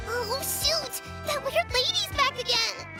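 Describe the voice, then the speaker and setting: A woman's voice: very high-pitched, takes it slowly, music in the background, heavily acted. A woman voicing a tiny, chatty, childlike fairy companion in a cartoonish game style. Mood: alarmed. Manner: speaks of herself in third person.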